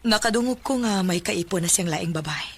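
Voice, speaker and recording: A woman's voice: mid-range voice, fairly quick, slightly rough. A Filipino woman with a Visayan accent playing a weary, struggling abandoned mother in a radio drama. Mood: resigned, sad.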